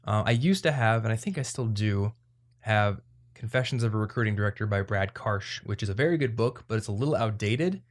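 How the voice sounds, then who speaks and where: A man's voice: on the low side, brisk. A man hosting an advice podcast, talking in a casual, conversational way as he explains things. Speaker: podcast host.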